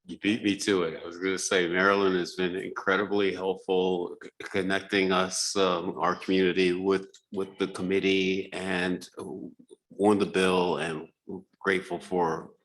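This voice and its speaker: A man moderating a panel, taking questions and thanking the speakers in a relaxed way. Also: low voice, rough recording. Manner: relaxed, appreciative, a little halting.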